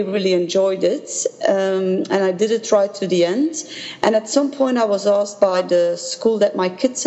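A woman's voice: medium pitch, darkish tone. Dutch-speaking Belgian woman, very reverberant and dull. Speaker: interview guest. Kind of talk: personal recollection in an interview. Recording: dull sound with long reverb.

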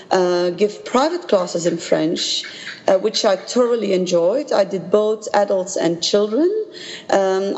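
A woman from Belgium, a native Dutch speaker, recounting her experiences as an interview guest in a measured, earnest way. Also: medium pitch, darkish tone. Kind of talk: personal recollection in an interview. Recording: dull sound with long reverb.

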